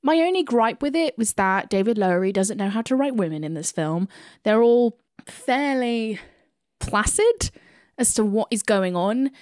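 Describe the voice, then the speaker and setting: A woman's voice: on the high side, animated, normal speed, a little mellow, no echo, studio quality. A British woman hosting a film podcast, giving chatty, opinionated reviews in a conversational style. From United Kingdom.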